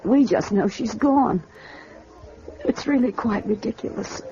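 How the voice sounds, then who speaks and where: An American actress playing an anguished, well-to-do middle-aged mother in a 1950s radio drama, halting, restrained and wounded. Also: on the high side, mellow, natural voice. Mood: regretful. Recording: muffled old radio broadcast.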